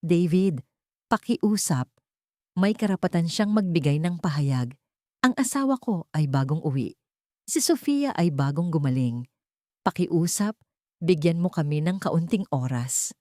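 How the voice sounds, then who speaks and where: A woman's voice: fairly low pitch, animated, unhurried, long pauses, dark tone, dry recording, studio quality. A woman voicing an anxious husband who pleads for his wife in an audio drama story. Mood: pleading.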